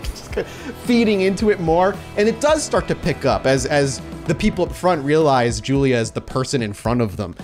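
A man improvising narration as game master of a tabletop game, acting out bystanders in an animated way. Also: a little high, moderate pace, faint music behind.

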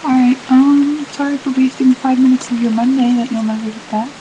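A teenage girl talks into her laptop's webcam mic in a small bedroom, her voice sitting a little high. She rambles, says sorry again and again and fills gaps with ums. A steady hiss runs under the noisy, low-grade recording. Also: brisk, muffled recording. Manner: apologetic, rambling, many fillers. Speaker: vlogger. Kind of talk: rambling apologetic webcam vlog.

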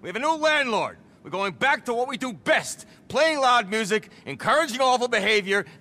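Flamboyant, high-pitched middle-aged club boss. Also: male voice, sing-song, moderate pace, bright, forceful tone, heavily acted. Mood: exasperated. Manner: flamboyant, theatrical, profane. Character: vain, flamboyant, frazzled middle-aged male nightclub impresario. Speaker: nightclub owner. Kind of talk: video game cutscene acting.

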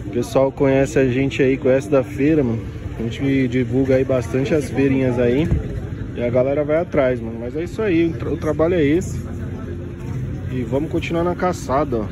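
A man's voice: mid-range voice, poor audio. A Brazilian man with a São Paulo accent chatting casually and in slang as a vlogger, walking through an outdoor market. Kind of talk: casual handheld market walkthrough, slangy chat. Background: crowd and street sounds.

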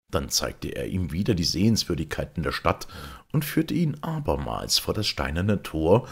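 A man reading a literary story aloud as an audiobook narrator, measured and expressive. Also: very deep voice, gravelly, slightly rough. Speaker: audiobook reader.

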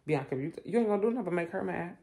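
A woman's voice: fairly low pitch, expressive, very fast, creaky, mellow. A middle-aged woman hosting a family vlog, bossy and blunt, teasing and grilling people in casual slang at home. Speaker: vlog host and mother.

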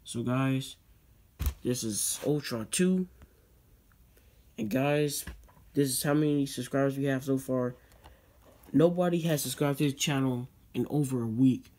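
A teenage boy hosts his own channel from a desk, talking loosely with lots of "um" and "guys". His voice sits at an ordinary middle pitch. He circles back to the same requests again and again. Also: unhurried, long pauses, a little mellow. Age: teens. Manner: rambling, many fillers and repeated "guys". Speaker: YouTube channel host. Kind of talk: casual vlog unboxing talk.

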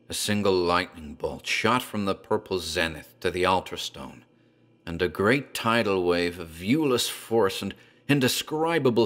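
A man narrating a horror story in a dark, deliberate, theatrical way. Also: deep voice.

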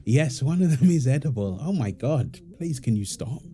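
A man playing a doting, playful young husband and proud father in a wholesome audio roleplay, soft and affectionately teasing. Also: medium pitch, expressive, moderate pace, natural voice.

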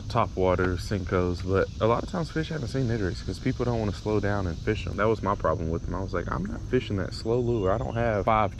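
Fast-talking, joking young fishing vlogger. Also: male voice, low voice, noisy background. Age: young adult. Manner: fast, joking, hyped up and chatty. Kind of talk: casual fishing vlog talk to camera. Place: outdoors, gazebo by a pond, then on a kayak. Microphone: action camera, chest and handheld. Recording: music under the talk.

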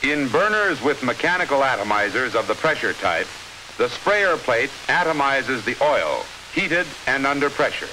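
An American man reading scripted 1950s instructional film narration in a measured, clearly enunciated, authoritative manner. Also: medium pitch, big pitch swings. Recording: hissy 1950s film soundtrack.

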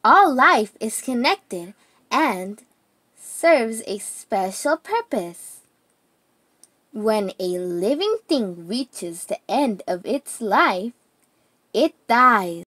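Slow, lively-pitched woman reading aloud. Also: no echo. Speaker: children's book reader. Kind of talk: read-aloud of a children's picture book.

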